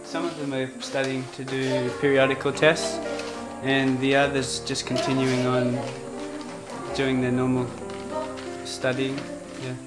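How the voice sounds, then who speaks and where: Slow-talking male teacher under loud music. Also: medium pitch, little pitch movement, rough recording. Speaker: student missionary teacher. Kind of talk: informal talk while showing a classroom.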